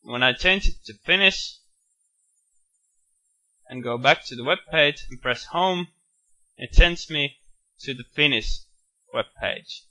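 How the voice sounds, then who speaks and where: A man walking through a software screencast, explaining each step in a steady, matter-of-fact way with bits of enthusiasm. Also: fairly high pitch, slow, long pauses, low-quality recording. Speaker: software tutorial presenter. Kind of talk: screencast walkthrough narration.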